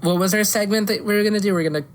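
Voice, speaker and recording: A woman's voice: mid-range voice, rapid-fire. A woman co-hosting a podcast, chatting casually about pop culture in an opinionated, argumentative way with casual swearing. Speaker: podcast co-host. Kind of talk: casual chat about movies and games.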